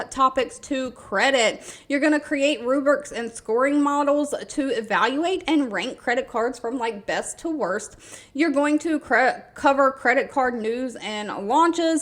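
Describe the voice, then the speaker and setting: A woman's voice: sits high, fairly bright tone. A woman hosting an informational video, explaining details plainly in a semi-scripted narration. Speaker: video host sharing job listings.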